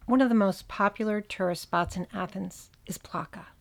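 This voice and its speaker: A woman with a New Jersey accent hosts a podcast at a close microphone. She reads a script in a voice of middle pitch and breaks it with wry, dry asides. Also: normal speed, gravelly. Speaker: podcast host.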